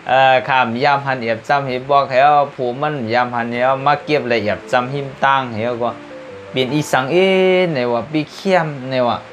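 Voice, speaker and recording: Man, storyteller, folk tale, rambling, filler-heavy, middle pitch, faint music. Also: fairly even intonation, fast.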